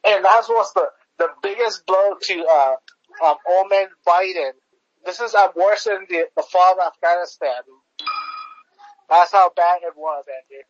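A man co-hosting a podcast, chatting casually about politics in a halting way, piling up fillers and restarts. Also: sits high, dull audio, a little hiss or hum. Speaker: podcast co-host.

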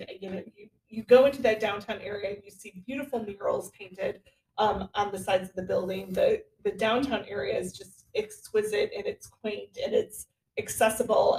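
A woman's voice: mid-range voice, gravelly, darkish tone, distant mic, a little hiss or hum. A woman hosting a conversational interview podcast in a chatty, somewhat hesitant way, recorded with roomy echo away from the mic. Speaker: podcast host.

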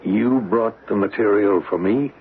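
A wary, low-voiced man, radio drama. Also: measured pace, narrow, tinny audio. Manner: guarded, hesitant, defensive. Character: nervous, wary embassy man making a shady deal. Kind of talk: old-time radio drama acting. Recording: thin, noisy old radio broadcast.

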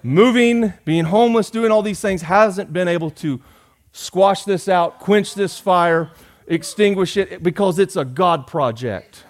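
A man, preaching a sermon in a folksy, joking way, rising loud and dropping soft. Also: high voice, unhurried. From Georgia. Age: 40s. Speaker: pastor.

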